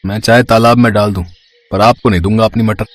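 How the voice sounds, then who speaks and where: Low-voiced, firm farmer played by a man. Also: fairly even intonation, quick pace, dry recording, natural voice. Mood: polite, defiant. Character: poor farmer, polite but firmly defiant. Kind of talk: moral-story cartoon dialogue.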